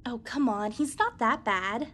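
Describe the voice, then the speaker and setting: A woman's voice: fairly high pitch, very animated, unhurried, a bit bright, a little hiss or hum. A woman playing a frank, friendly young space cadet in a sci-fi anime-style drama. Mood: casual, chiding. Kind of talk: sci-fi anime parody audio drama acting.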